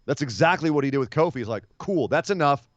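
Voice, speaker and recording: A slightly hoarse man co-hosting a casual podcast, talking in an animated, opinionated way and laughing easily. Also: on the high side, quick pace, no room sound. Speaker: podcast co-host. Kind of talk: casual wrestling podcast chat. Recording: muffled, dry remote podcast audio.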